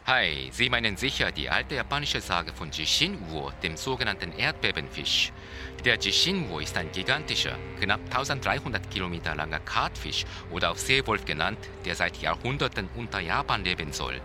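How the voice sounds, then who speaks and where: An actor playing a Japanese seismologist, explaining in a precise, didactic, formal way in a radio-play interview. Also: male voice, low voice, big pitch swings, moderate pace, music in the background. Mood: precise, solemn. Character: male Japanese seismologist, precise, solemn expert being interviewed.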